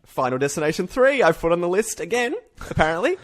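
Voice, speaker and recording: A man's voice: high voice, big pitch swings, fast talker, a crowd in the background. A man hosting a podcast, chatting casually and jokily about films. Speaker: podcast host.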